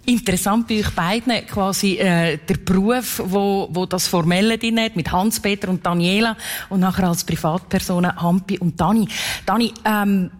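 A woman's voice: medium pitch, steady tone, normal speed. A woman speaking Swiss German, hosting an interview with frequent laughs and short fillers. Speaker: host, interviewer.

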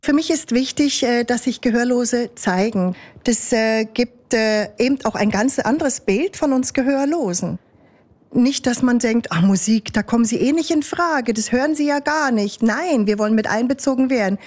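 A woman interpreter talks. Her voice is fairly high, and she phrases things conversationally. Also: moderate pace, a little mellow. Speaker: sign language interpreter. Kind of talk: interview answers and spoken interpreting.

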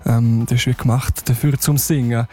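A man's voice: medium pitch, steady tone, moderate pace, warm tone, breathy edge. A man with a Romansh-tinged Swiss accent, a singer, speaking earnestly and reflectively about his singing. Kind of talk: interview soundbite.

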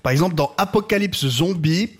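A man comedian on a panel show, telling a made-up story with brisk, mocking asides. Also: high voice, animated. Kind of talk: comic storytelling.

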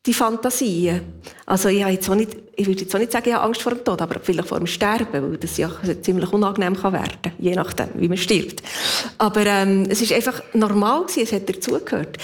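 Swiss German woman in her fifties, relaxed storyteller with frequent laughs. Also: medium pitch. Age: 50s. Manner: laughs often, reflective storytelling. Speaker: guest. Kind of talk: casual conversation.

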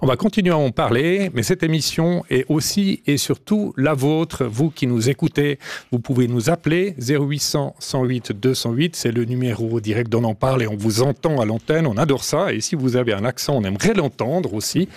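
Male radio host, gravelly, dry studio sound. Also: mid-range voice, moderate pace, no room sound. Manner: animated, steering questions. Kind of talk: radio talk show hosting.

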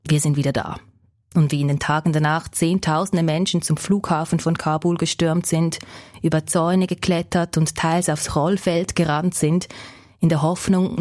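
A woman hosting a news podcast, reading a scripted narration in a calm, measured way. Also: low voice. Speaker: podcast host.

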